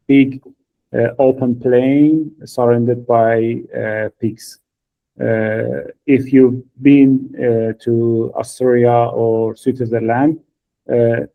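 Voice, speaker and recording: Slow, halting man with a thin phone-like sound. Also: medium pitch, gravelly. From Iran. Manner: halting, many filler pauses, non-native phrasing. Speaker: podcast co-host. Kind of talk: podcast conversation.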